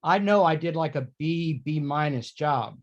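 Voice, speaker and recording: A man's voice: a little high, lively intonation, a little slow, muffled recording. A man talking casually as a guest in a panel discussion about his craft. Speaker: panel guest, accent-certified performer.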